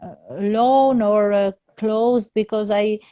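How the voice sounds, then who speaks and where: A woman speaking English as a second language, slow and careful, stopping often for fillers and restarts. She talks at an unhurried pace in a dry, close recording with no room sound. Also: mid-range voice, steady tone, smooth, no echo, dull audio. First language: not English. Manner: hesitant, many fillers and restarts, laughs softly. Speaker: soprano opera singer, interview guest. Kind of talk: casual interview conversation in English.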